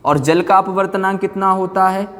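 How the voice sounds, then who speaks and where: A man's voice: sits high, fairly even intonation, normal speed, a bit bright. A man teaching an exam-coaching class informally, asking questions and answering them himself, repeating key phrases and prompting his students. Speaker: physics teacher. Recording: echoey hall-like room reverb.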